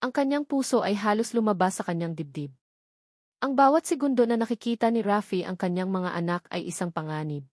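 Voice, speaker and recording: A woman with a Filipino accent reads a scripted romance story aloud in a measured, dramatic way. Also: mid-range voice, lively intonation, a little mellow, no room sound, clean studio sound. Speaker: story narrator.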